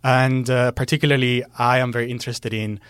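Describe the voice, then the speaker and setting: A man's voice: medium pitch, level tone, brisk, fairly bright tone, clear, resonant tone, dry recording, studio quality. A middle-aged man, a non-native speaker whose first language is Greek, explaining earthquake science as a guest, earnest and full of fillers. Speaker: guest seismologist. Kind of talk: explanatory interview talk.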